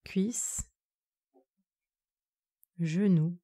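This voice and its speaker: A woman guides a relaxation meditation with a mellow, slightly low voice. She speaks very slowly in short, measured phrases. Her manner is calm and soothing, as a yoga nidra instructor. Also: slightly rough.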